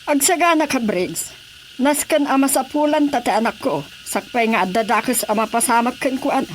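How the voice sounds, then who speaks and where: A woman's voice: a little high, normal speed, slight background noise, natural voice, low-quality recording. An actress playing a commanding wealthy mother who gives orders to her driver in a radio drama. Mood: urgent. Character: bossy wealthy mother, lady of the house. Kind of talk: Ilocano radio drama acting.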